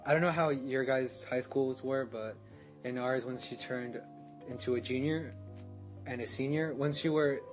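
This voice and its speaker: A man's voice: medium pitch, fairly even intonation, normal speed, faint music behind. A young man guesting on a podcast, telling a story in casual chat, rambling, restarting and swearing, with laughs mixed in.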